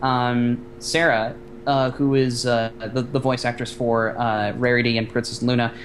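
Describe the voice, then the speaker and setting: A man's voice: medium pitch, little pitch movement, unhurried, slight background noise. Man rambling with lots of fillers. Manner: rambling, many fillers and restarts. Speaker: guest voice actor and director. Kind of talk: casual podcast chat.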